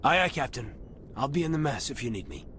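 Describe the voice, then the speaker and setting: An actor playing an earnest, dutiful soldier in a video game, with a respectful manner. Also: male voice, medium pitch, wildly swooping pitch, fast talker, a bit bright, a little hiss or hum. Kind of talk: cinematic video game acting.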